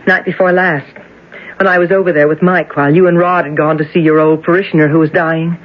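A woman's voice: low-pitched, fairly even intonation, brisk, natural voice. An actress playing an upset young woman engaged to the son, speaking nervously and hesitantly in a 1970s radio drama. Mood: anxious. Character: young anxious woman, fiancée, upset and secretive. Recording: muffled old radio broadcast with faint hiss.

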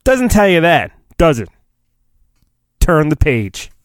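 Man, high-ish voice, lively intonation, theatrical storybook reading, sarcastic rambling asides. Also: slow. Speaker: solo podcast host. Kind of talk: mock-dramatic storybook reading with comic asides.

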